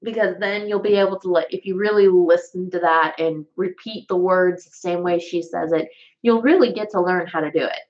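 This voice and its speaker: A woman's voice: on the low side, moderate pace. Rambling Southern woman on a lo-fi livestream. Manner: rambling, chatty, many fillers and restarts. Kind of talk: casual livestream chat. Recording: dull lo-fi sound.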